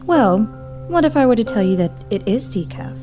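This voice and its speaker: Woman voicing an assistant, teasing, caring, bouncy pitch, music underneath. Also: big pitch swings, moderate pace. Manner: teasing, playful. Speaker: live-in assistant.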